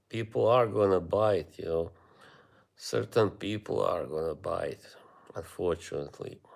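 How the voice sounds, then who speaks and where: A man from Bosnia with a Bosnian accent, chatting casually with viewers on a live stream, rambling and halting with many fillers. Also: sits low, gravelly.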